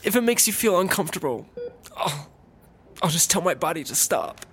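A man's voice: on the high side, animated, normal speed, fairly bright tone, a little hiss or hum. An actor playing a goofy, self-dramatizing man in a comedy audio drama, whiny and earnest about his imagined pregnancy. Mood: wounded earnestness.

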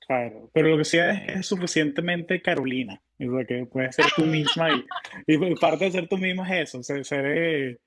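A man hosting a podcast, asking questions in a relaxed, chatty way with frequent restarts. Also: a little high, moderate pace, heavy background noise. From Latin America. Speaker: podcast host. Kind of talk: conversational interview.

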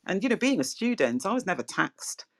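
A middle-aged woman with a soft voice, hosting a casual property chat over a video call, chatty and laughing often. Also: mid-range voice, very animated, a little fast. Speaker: property podcast host. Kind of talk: casual online interview chat.

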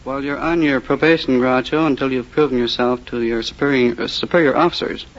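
A man's voice: mid-range voice, steady tone, a little fast, highs cut off. Polite young American man on old radio. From Minnesota, USA. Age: 20s. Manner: polite, brief answers. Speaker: quiz show contestant. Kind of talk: answering interview questions. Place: studio before a live audience. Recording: noisy old radio recording.

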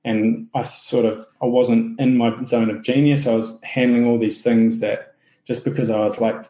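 Man, New Zealand accent, podcast guest, mellow voice, filler-heavy casual talk, echoey room. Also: mid-range voice, moderate pace. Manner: relaxed, many fillers and restarts. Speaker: podcast guest, SEO business owner. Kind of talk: casual interview chat.

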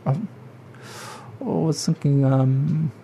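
A man with a New Zealand accent hosting a podcast in casual, relaxed talk with many hesitations. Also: on the high side, slow pace, vocal fry, muffled recording, slight background noise. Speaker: podcast host. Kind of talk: casual interview chat.

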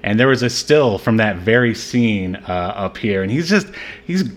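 A man's voice: on the low side, very animated, normal speed. Man in a rambling, jokey podcast chat. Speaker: podcast co-host reviewing a film. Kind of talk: casual film-review chat.

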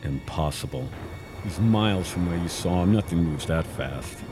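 A man's voice: deep voice, moderate pace, music in the background, natural voice. A man playing the terse, decisive leader of a spaceship science crew in an audio drama. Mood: wary.

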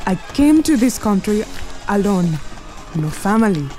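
A woman playing a proud, sharp-tongued immigrant businesswoman with a commanding, haughty manner in a period radio drama. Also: medium pitch, very animated, unhurried, soft background music. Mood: proud, defiant. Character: imperious, proud immigrant Harlem numbers queen, sharp-tongued.

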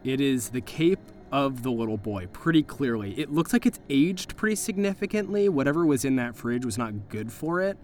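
A man's voice: mid-range voice, animated, moderate pace, few pauses, a little mellow. A man narrating a horror tabletop game as game master, in a slangy, conversational storytelling way.

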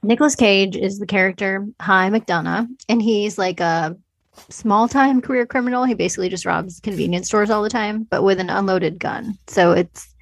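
A woman's voice: mid-range voice, normal speed. A woman co-hosting a podcast, chatting casually about film in an informal, conversational way peppered with filler words. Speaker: podcast co-host.

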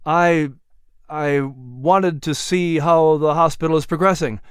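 A narrator voicing a troubled male colonial statesman in a story, with a grave, halting manner. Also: a little high, fairly even intonation, clean tone, no room sound, studio quality. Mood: hesitant, grave.

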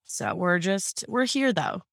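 A woman's voice: fairly low pitch, sing-song, takes it slowly, no echo, clean studio sound. A young woman co-hosting a casual chat podcast, upbeat and giggly with lots of fillers, fighting allergies. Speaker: podcast co-host.

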